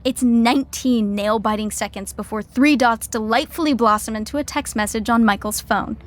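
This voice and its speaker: A woman's voice: a little high, normal speed, lightly acted. A woman playing a wry, mock-dramatic narrator of a podcast sitcom, reading scripted narration.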